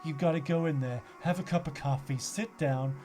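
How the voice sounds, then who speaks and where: A man's voice: a little high, normal speed, darkish tone. A man hosting a podcast in a casual, rambling, jokey way. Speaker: podcast host. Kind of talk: casual banter and rambling sign-off on a film podcast. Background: music playing behind the voice.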